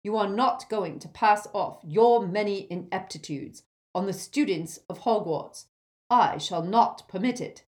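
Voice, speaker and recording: A woman's voice: medium pitch, voice pushed up, lightly acted. Woman playing a stern, icily precise older professor. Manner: crisp, measured, icily proper.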